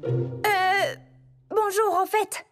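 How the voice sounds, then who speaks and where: A woman playing a timid, awkward high-school girl in a French anime dub, hesitant and easily embarrassed. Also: level tone, drawn-out words, soft background music, exaggerated voice. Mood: shy, nervous. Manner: hesitant, stammering.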